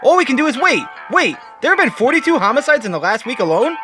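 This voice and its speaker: A man's voice: very high voice, very animated, fast talker, music in the background. A man voicing an alarmed television news speaker, urgent and dramatic. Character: panicked television news voices.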